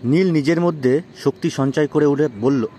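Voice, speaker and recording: A man's voice: fairly high pitch, normal speed, dark tone, slight background noise. A man narrating a suspense horror story in a dramatic storytelling style. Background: background music.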